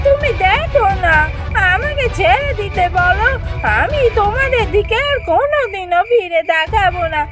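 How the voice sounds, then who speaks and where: Woman voicing a very shrill, scheming cartoon aunt. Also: animated, moderate pace, over loud music. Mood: frightened pleading. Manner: whiny, exclaiming, wheedling. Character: greedy scheming aunt, frantic and pleading when caught. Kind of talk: Bengali fairy-tale cartoon acting.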